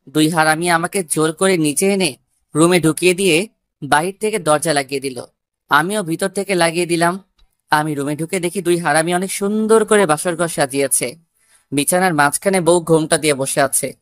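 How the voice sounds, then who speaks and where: A woman's voice: fairly low pitch, normal speed, no room sound. A woman narrating a scripted first-person love story.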